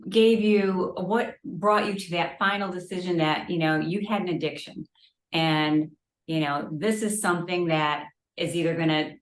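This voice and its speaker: A woman hosting a chat, asking direct, probing questions. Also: lowish voice. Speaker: host. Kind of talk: conversational interview. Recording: roomy echo.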